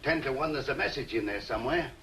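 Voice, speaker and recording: An actor playing an eager working-class English constable, colloquial and chatty, in vintage detective film style. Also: male voice, medium pitch, lively intonation, fast talker, bright, forceful tone, slight background noise.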